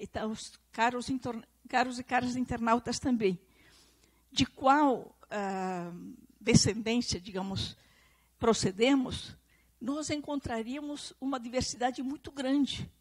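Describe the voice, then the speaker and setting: A woman's voice: high-pitched, husky. A woman from southern Brazil with an Italian-descendant regional accent, giving an earnest public lecture to a live audience and online viewers, often repeating and restarting phrases for emphasis.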